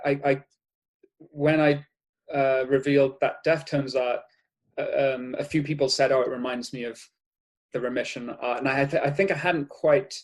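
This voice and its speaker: A man's voice: mid-range voice, steady tone, moderate pace. A man from Wales with a Cardiff accent, a guest artist talking in a casual interview, hesitant and full of restarts. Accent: Welsh, Cardiff. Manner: hesitant, many restarts and fillers.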